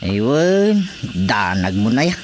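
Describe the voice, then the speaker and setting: A man's voice: lowish voice, big pitch swings, slow, a little hiss or hum. Stubborn Ilocano fisherman husband. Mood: matter-of-fact. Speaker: voice actor. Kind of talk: radio drama comedy acting.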